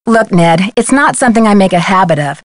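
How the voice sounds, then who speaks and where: A woman's voice: mid-range voice, lively intonation, brisk, no echo, studio quality, close to an everyday voice. A woman playing an earnest, inquisitive young amateur detective in a video game, speaking in an articulate way. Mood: earnest, curious. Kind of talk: video game dialogue acting.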